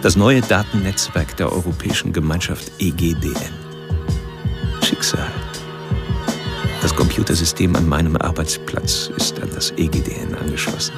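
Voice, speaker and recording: Here is a cold, philosophical male murderer confessing straight to the listener in a radio play. His voice sits very low and crackles with fry. Music plays beneath his deliberate narration. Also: huge pitch swings, heavily acted. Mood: confiding, intense. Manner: deliberate, confiding, addresses the listener directly. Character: coldly philosophical male serial killer narrating his deeds. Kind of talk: first-person radio drama narration.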